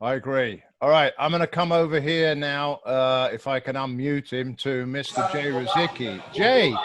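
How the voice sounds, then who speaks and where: A man's voice: mid-range voice, normal speed, a crowd in the background. A British man hosting a casual video-call happy hour, chatty and jokey, with frequent fillers and restarts. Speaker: host.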